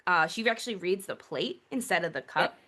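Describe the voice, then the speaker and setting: A woman's voice: lowish voice, expressive, brisk, bright, forceful tone. Earnest, explanatory woman guest. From Greek-American. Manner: earnest, explanatory, mimics others' voices. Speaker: guest, priestess teacher. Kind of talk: conversational interview.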